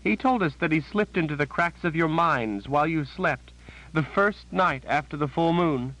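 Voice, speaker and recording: A man's voice: a little high, lively intonation, moderate pace, fairly bright tone, voice pushed up, poor audio. A man voicing a frightened, pained captive woman who speaks in a trembling whisper.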